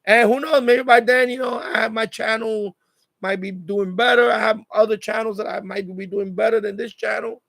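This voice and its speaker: A man's voice: sits high, steady tone, normal speed, vocal fry, fairly bright tone, dry recording, studio quality. A man chatting casually on a live stream, repeating phrases as he gives advice. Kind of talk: casual live chat monologue.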